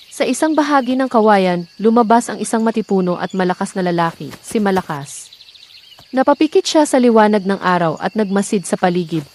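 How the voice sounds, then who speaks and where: Female storyteller, medium pitch, dramatic scripted folktale narration, with clearly audible background nature noise. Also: a little mellow.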